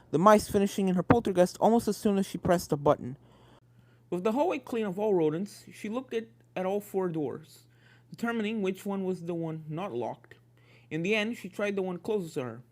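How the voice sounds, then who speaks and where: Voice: medium pitch, expressive, normal speed, darkish tone. A narrator reading a scripted fan fiction story aloud.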